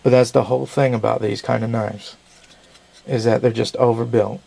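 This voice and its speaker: A man's voice: medium pitch, fairly even intonation, normal speed, vocal fry, dark tone. A man, a little sick and losing his voice, giving a rambling casual knife review with frequent self-corrections.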